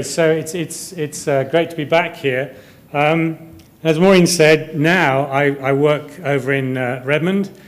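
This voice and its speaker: A man in his fifties from Kuwait giving a conference presentation to developers in a hesitant, rambling way, often repeating words. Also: a little high. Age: 50s. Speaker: conference speaker, software company director. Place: hotel conference room, indoors.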